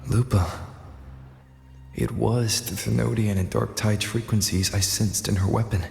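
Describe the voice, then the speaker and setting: An actor playing a composed young male game hero who reasons through his plans in a measured, serious way. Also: on the low side, fairly even intonation, creaky, darkish tone. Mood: grave, thoughtful. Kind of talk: scripted game voice acting. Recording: echoey, long reverb, music behind.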